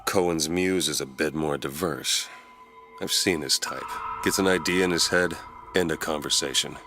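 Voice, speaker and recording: A man playing a world-weary, terse hardboiled private detective with an American accent and a gravelly voice in a noir game. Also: rumbling low, normal speed, over music. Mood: dry, cynical. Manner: terse, clipped. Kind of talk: film noir video game acting.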